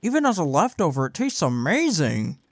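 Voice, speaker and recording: A man's voice: a little high, wildly swooping pitch, normal speed, slight background noise. A young man acting in an intimate audio roleplay as a caring, teasing college best friend, talking casually and earnestly. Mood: playful, chatty. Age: young adult.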